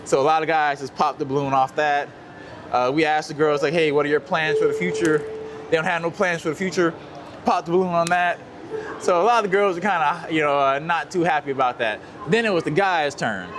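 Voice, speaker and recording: A man's voice: fairly high pitch, brisk, background noise. A man casually telling a story, rambling with lots of fillers, outdoors in a city. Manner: rambling, many fillers, relaxed. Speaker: guest.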